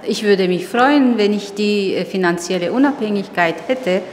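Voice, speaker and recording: Halting woman in an echoey hall. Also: mid-range voice. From Tunisia. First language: Arabic. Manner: thoughtful, halting with frequent hesitations. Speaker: writer, interviewee. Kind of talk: reflective interview talk. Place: exhibition opening venue. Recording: echoey hall with background noise.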